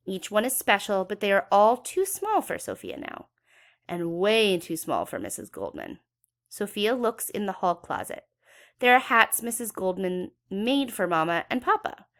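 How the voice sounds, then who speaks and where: A woman's voice: medium pitch, fairly bright tone. A woman reading a children's story aloud expressively, with dramatic emphasis for young listeners. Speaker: children's book read-aloud educator.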